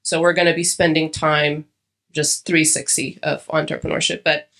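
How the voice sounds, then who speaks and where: A woman's voice: deep voice, little pitch movement, moderate pace, gravelly, fairly bright tone. Chatty woman speaker from Ethiopia. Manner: conversational, many fillers and restarts. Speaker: conference speaker. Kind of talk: conference talk. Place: conference hall.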